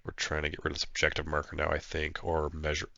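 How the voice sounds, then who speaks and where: A man's voice: rumbling low, completely flat delivery, fast talker, creaky, bright, forceful tone, no room sound, muffled recording. A man getting over a flu, his nose stuffed up, casually narrating his own tabletop battle as a player with plenty of fillers. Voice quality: nasal, stuffed-up. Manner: thinking aloud, hesitant with fillers. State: congested from a flu. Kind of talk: casual play-by-play commentary.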